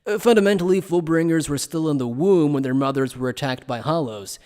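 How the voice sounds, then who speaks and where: A man playing an evasive, playful scholar who explains things in a polite, measured, teasing way in an anime fan dub. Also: on the high side, dark tone, natural voice. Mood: polite, explanatory. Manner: polite, measured, teasing lecturer with coy understatement.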